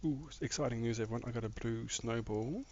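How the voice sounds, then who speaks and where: A man's voice: medium pitch, fast, darkish tone. A man with a somewhat mixed Australian accent, chatting casually with viewers while he plays a game on a live stream. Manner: rambling, chats with viewers while building. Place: home room. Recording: dull lo-fi mic with faint noise.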